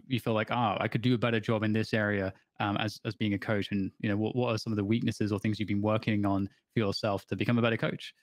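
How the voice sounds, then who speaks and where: A man hosts a video call interview, firing off rambling questions at a quick clip. The sound is dry and close, with no room around it. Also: fairly low pitch, dry recording. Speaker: interview host.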